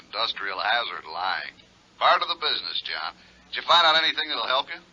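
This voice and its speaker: An actor playing a brisk, teasing male insurance boss in a 1950s radio drama, talking in a needling manner. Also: medium pitch, very animated, sharp tone, slightly rough, full character voice. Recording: muffled 1950s radio broadcast, slightly noisy.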